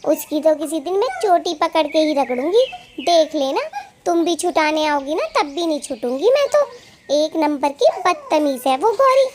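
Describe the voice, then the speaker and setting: A woman's voice: sits high, lively intonation, normal speed, background noise. A woman voicing a quarrelsome, hot-tempered female cartoon bird with an emphatic, taunting, scolding way of talking. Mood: angry. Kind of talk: animated cartoon dialogue.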